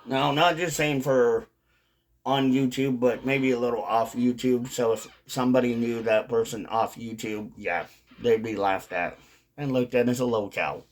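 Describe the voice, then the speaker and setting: A man's voice: medium pitch, steady tone, normal speed. A man talking casually and unscripted as a video creator, rambling and often repeating or rephrasing his points. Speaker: video creator on a dipping tobacco channel. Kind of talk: casual unscripted vlog monologue.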